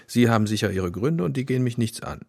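Husky, expressive police detective. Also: male voice, mid-range voice, fast. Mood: tactful, calm. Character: male police detective, dry, tactful, world-weary colleague. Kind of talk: German audio drama acting.

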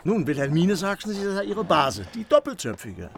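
A man playing a genteel, credulous councillor in a German radio play, speaking in a halting, muttering, polite manner. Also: high voice, very animated. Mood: inquisitive, insinuating. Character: genteel, credulous male councillor, shocked and prejudiced.

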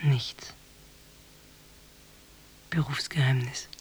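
A woman acting in a German radio drama as a discreet, unsentimental call girl, speaking in a deadpan, breathy half-whisper. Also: rumbling low, very slow, slight background noise, heavily acted. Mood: guarded, laconic. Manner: deadpan, laconic.

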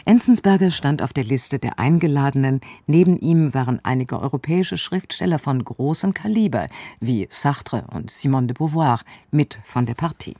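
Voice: mid-range voice, expressive, normal speed, few pauses, mellow, dry recording. A radio presenter giving a scripted introduction to an audio drama. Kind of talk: scripted narration.

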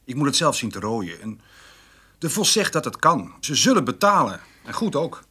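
An actor playing a proud, gruff and stubborn male farmer in a radio drama, blunt and plain-spoken. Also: mid-range voice, very animated, normal speed, sharp tone, exaggerated voice. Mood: proud, firm.

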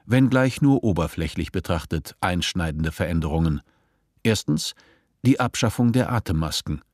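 Radio drama narrator: composed male storyteller, low-pitched, measured, close dry sound. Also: no echo. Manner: measured, literary. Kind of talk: scripted radio play narration.

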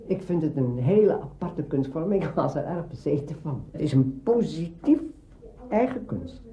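A woman's voice: deep voice, animated, moderate pace, warm tone. A woman giving short, emphatic answers in an interview. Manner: terse, emphatic. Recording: muffled, roomy archival recording.

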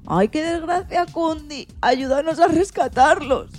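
Woman doing a high, theatrical guinea pig. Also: a little slow, darkish tone, faint music behind. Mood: desperate pleading. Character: anxious, caring guinea pig companion. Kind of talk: children's radio play.

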